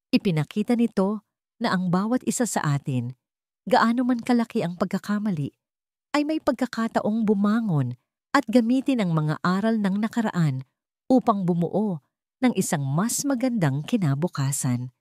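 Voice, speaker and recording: A woman narrates a sentimental tale in a low, soft-edged voice. Her delivery is measured and earnest, like a storyteller reading from a script, and the sound is very dry and close. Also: dry recording.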